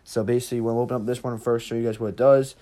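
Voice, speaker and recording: A man with a medium-pitched voice hosts a casual tutorial. He talks in an informal, slightly rambling way, addressing his viewers directly as he explains things step by step. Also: little pitch movement, very fast, dark tone. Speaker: tutorial host. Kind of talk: casual screen-recorded app tutorial.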